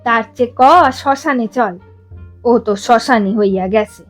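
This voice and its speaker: A woman's voice: a little high, a little slow, faint music behind, lightly acted. A woman voicing an aggrieved, blunt club boy with an East Bengali accent in a story reading. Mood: gloomy. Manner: blunt, colloquial grumbling.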